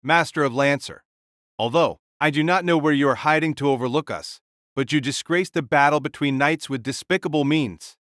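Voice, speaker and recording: A man voicing a boisterous, carefree warrior king who makes loud, hearty proclamations. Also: a little high, normal speed, no echo, studio quality, natural voice. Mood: scornful. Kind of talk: audiobook character voice.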